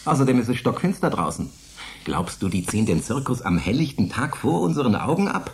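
An actor playing a sardonic, grumbling forty-year-old retired space pilot and bickering husband in a German science fiction radio play. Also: male voice, medium pitch, very animated, a little fast, a little hiss or hum. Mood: mocking. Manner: mocking, grumbling, declamatory.

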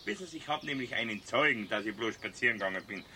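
An actor playing a cocky, evasive motorist with a Bavarian accent in a radio play, dismissive and sneering. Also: male voice, on the low side, expressive, fast, bright, forceful tone, slight background noise. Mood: defiant, sneering. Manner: cocky, dismissive, tags lines with "gell". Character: insolent, evasive male litterbug motorist, sneering. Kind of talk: 1970s German radio play acting.

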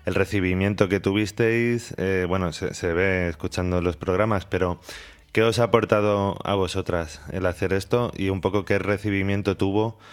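A man with an accent from Spain interviewing on radio in a casual, halting, thinking-aloud way. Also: low voice, steady tone.

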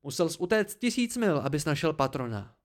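A man voicing a proud, angry, sharp-tongued young woman in an audiobook story, with biting, indignant outbursts. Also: medium pitch, animated, close to an everyday voice. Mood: cold fury.

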